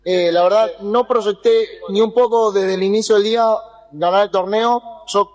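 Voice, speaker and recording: A man's voice: high-pitched, steady tone, moderate pace, darkish tone, big-room sound. A young man talking after a competition, hesitant and modest, with many fillers. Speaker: athlete interviewed. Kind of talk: post-competition interview.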